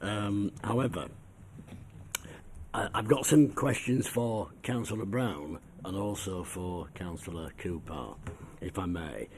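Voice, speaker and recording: A councillor with a heavy cold putting polite, deliberate questions to a committee. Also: male voice, low voice, darkish tone, a little hiss or hum.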